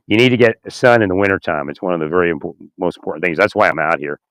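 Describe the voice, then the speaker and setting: A man with a slightly hoarse, raspy voice talking in a rambling, halting way, repeating words, chuckling often and asking "right?" as he speaks into his phone outdoors. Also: sits low, a bit bright, no echo. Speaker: vlogger. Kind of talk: rambling casual monologue. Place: outdoors in winter.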